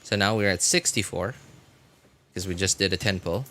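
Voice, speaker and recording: A man chatting casually as he comments on gameplay on a live stream, rambling and often correcting himself in a halting way. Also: low voice, bright, forceful tone, a little hiss or hum. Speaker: game livestreamer.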